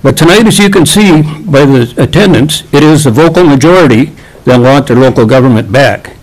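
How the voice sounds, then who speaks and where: A man delivering a prepared public comment in a measured, formal manner. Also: mid-range voice, expressive, rough recording. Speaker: public commenter at a county meeting.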